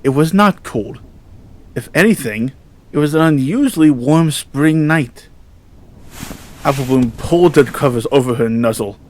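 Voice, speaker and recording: A man's voice: medium pitch, a little slow, pauses often, a little mellow. Man solemnly narrating a horror story.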